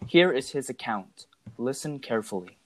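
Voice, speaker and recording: A man's voice: medium pitch, lively intonation, moderate pace, fairly bright tone, no room sound. A man co-hosting a podcast with formal, scripted narration. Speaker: podcast co-host.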